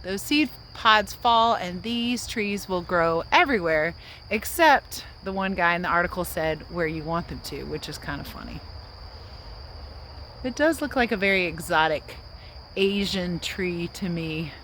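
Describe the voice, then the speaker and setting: A woman's voice: fairly low pitch, lively intonation, a little slow, a bit bright. Woman doing kids read-aloud and casual explaining, some insects and noise behind her. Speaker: children's read-aloud teacher and host. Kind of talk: storybook reading and casual explaining to viewers.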